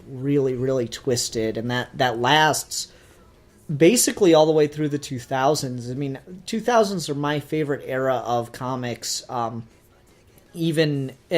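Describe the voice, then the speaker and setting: A man talking casually as a podcast host, explaining his opinions in an earnest, enthusiastic way. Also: mid-range voice. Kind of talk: casual review discussion.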